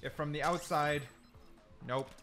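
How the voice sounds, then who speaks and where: A man's voice: a little high, steady tone, unhurried, fairly bright tone, faint music behind. A man giving casual gaming commentary, thinking aloud and repeating himself for emphasis. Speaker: gaming content creator. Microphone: desk mic, sometimes turns away from it.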